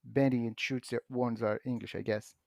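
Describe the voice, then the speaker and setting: A man whose first language is Portuguese, patiently explaining software on a podcast, often restarting his sentences. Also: medium pitch, steady tone, gravelly, no echo, clean studio sound. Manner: patient, halting with frequent restarts and fillers. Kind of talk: casual explanatory chat.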